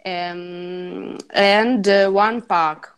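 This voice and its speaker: This is a woman whose first language is Russian, talking about her work in English in a practice group. She speaks very slowly and stops often, with many fillers and broken-off words. Her pitch sits in the middle of a woman's range. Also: steady tone, clean tone. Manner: hesitant, many fillers and restarts. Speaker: English learner. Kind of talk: halting conversation practice.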